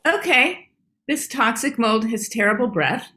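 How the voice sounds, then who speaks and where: Bossy cartoon dog leader, crisp forceful voice, kinda high, acting like all is fine. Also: female voice, expressive, a little slow. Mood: self-deluding. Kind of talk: animated comedy table read.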